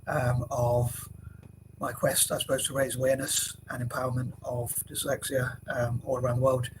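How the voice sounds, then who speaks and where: A man's voice: medium pitch, level tone, moderate pace. A young man with a cold, talking to camera in a rambling way with many fillers and restarts. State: has a cold. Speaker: vlogger. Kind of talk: casual talk to camera. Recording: noisy background hiss.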